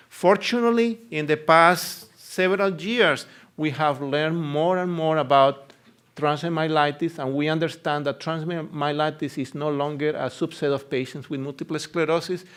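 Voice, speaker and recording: A middle-aged man from Colombia with a strong Colombian Spanish accent, a native Spanish speaker, giving an earnest, halting conference lecture as a neurologist, full of filler sounds. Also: a little high, fairly bright tone.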